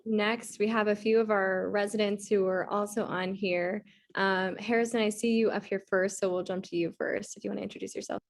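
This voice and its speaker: A woman's voice: mid-range voice, fairly even intonation, fairly quick, creaky. Upbeat woman moderating a video call.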